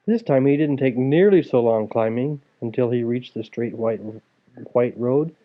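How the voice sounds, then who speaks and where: A man's voice: medium pitch, moderate pace. Male storyteller on tinny phone-like audio. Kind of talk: animated reading of a children's fairy tale. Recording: tinny, phone-like narrow sound.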